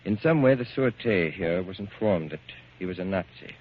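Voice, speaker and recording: Grave, cool, low-voiced radio drama man. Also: expressive. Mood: coolly insinuating. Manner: measured, deliberate, coolly insinuating. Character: cool, grave man coldly revealing grim news. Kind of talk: 1940s radio drama acting. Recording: muffled, hissy 1940s radio recording.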